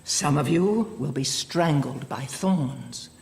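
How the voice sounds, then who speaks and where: An actress playing a stern, zealous indoctrinator who lectures captive women in a deliberate sermonizing manner in an audio drama. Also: female voice, low-pitched, expressive, a little mellow. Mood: solemn, instructing. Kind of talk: audio drama acting, preachy speech.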